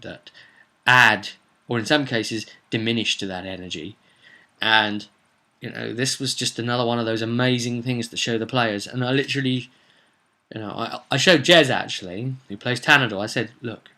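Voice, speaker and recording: A man, a game master, casually recounting a tabletop roleplay session in an animated, conversational way. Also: medium pitch. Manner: animated storyteller, conversational asides and tag questions.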